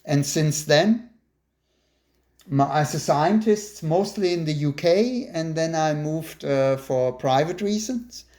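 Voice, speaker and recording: A chatty interviewer from Germany with a middle-pitched voice keeps the conversation casual. Short laughs punctuate the remarks, and the questions come in an easy, conversational flow. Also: lively intonation, slow pace, warm tone.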